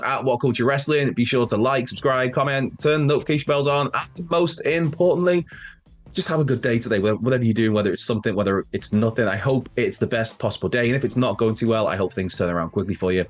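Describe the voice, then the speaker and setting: A man's voice: medium pitch, quick pace. A man hosting a wrestling news show, sounding nasal and bunged up from a cold, rambling and correcting himself as he chats. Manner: rambling, self-correcting, chatty with asides. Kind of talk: casual news chat to camera.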